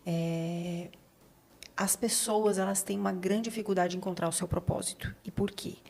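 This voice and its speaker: A woman with a Brazilian accent and a medium-pitched voice hosts a podcast conversation. She speaks in a reflective, affirming way, like an interviewer summing up and prompting. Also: fairly even intonation, normal speed, gravelly, darkish tone. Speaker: podcast host.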